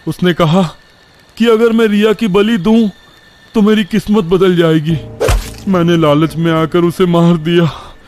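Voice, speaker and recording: A man's voice: high-pitched, steady tone, a little slow, a little mellow, slight background noise, pitched above the performer's own voice. A man voicing a frightened, guilty young man in a cartoon horror story, trembling with remorse as he speaks. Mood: fearful confessing.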